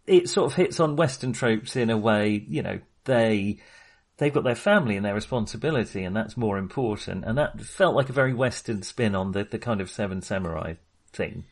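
A man's voice: on the low side, expressive, fairly quick. A man co-hosting a film discussion, talking casually and analytically as he thinks aloud in an informal, chatty way. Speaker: film podcast co-host.

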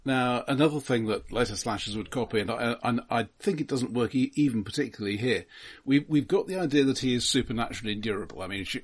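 A man co-hosting a podcast, analysing films casually in a halting way, repeating words as he argues. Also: mid-range voice, slightly rough. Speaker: film discussion podcast co-host. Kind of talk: casual analytical film discussion.

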